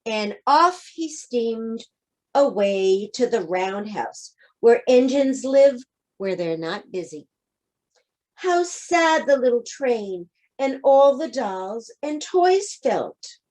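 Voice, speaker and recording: Woman storyteller for preschool read-aloud: very slow pacing, higher pitch, expressive direct address. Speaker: storybook reader. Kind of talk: children's picture-book read-aloud with asides.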